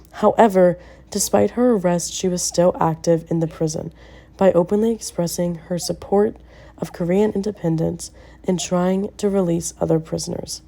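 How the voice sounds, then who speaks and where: A young woman reading a prepared film review and historical summary, slowing down carefully on names. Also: on the low side, mellow. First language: English. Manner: careful, slowed delivery on names. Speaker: student presenter. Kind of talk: scripted film review narration.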